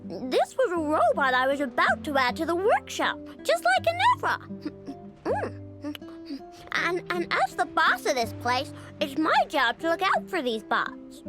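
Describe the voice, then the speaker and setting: A bossy young girl inventor in an anime game. The childlike voice is extremely high and swings widely, with emphatic delivery over background music. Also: full character voice. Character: headstrong young girl inventor bossing her robot fleet.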